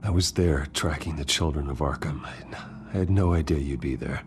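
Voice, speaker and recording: A man's voice: very deep voice, fairly quick, darkish tone, a little hiss or hum. A man playing a brooding but earnest male vigilante in a video game, speaking in a subdued, sincere way. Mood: subdued, apologetic. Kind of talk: video game cutscene dialogue. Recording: music behind the voice.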